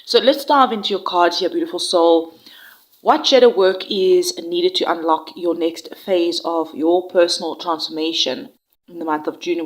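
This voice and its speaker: A woman doing a tarot reading in a gentle, reassuring way, with fillers and restarts, her voice nasal and congested from a flu. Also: on the low side. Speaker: tarot reader.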